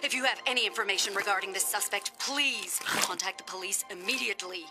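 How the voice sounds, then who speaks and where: A woman's voice: medium pitch, a little fast, loud music behind the voice, natural voice. A woman playing an earnest local TV newsreader in a cartoon, announcing in a formal, emphatic bulletin style. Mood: urgent, official. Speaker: news reporter. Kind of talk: cartoon parody of news bulletin.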